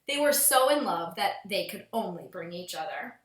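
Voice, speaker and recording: A woman reading a children's storybook aloud as a schoolteacher would, with expressive storytelling, recorded in a roomy space at home. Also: fairly low pitch, normal speed.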